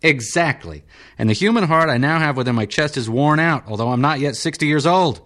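A petulant senator pushing sixty snaps his questions in a raised, strained voice that is pitched well above a plain speaking voice, as a male narrator puts him on. He is restless and demanding, and his voice rises and falls sharply in an audiobook reading. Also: animated, brisk, a bit bright. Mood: peevish. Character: peevish, irritable male senator in his fifties.

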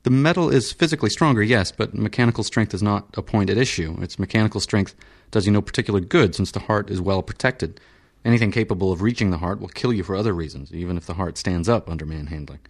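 A man playing a stolid, flat-spoken surgeon. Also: lowish voice. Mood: formal. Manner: flat, measured, formal. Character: stolid, coolly patient male surgeon.

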